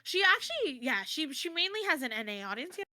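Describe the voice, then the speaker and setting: A woman's voice: sits high, big pitch swings, fairly quick, bright, forceful tone, no echo. An American woman chatting casually and expressively as a guest on an interview stream. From United States. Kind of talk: casual chat.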